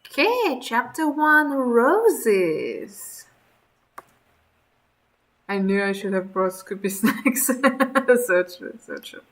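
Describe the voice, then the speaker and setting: A woman's voice: fairly high pitch, big pitch swings, drawn-out words, long pauses. A woman streamer chatting casually as she plays a visual novel, with game music behind her. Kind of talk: casual gameplay chat.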